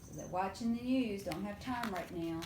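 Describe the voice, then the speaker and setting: A woman's voice: medium pitch, moderate pace. A woman leading a preschool storytime, reading aloud and adding simple explanations and questions for young children. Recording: roomy echo, faint background noise.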